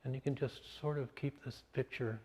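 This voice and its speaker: A man leading a guided meditation in short, measured phrases with pauses between them. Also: medium pitch, fairly even intonation, darkish tone. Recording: long hall-like reverb.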